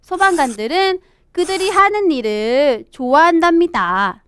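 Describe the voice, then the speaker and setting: Young woman, children's teacher, very lively intonation, slow careful delivery, expressive storybook reading. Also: very high voice, no room sound, clean studio sound. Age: young adult. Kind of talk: children's lesson reading a storybook aloud with explanations. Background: background music.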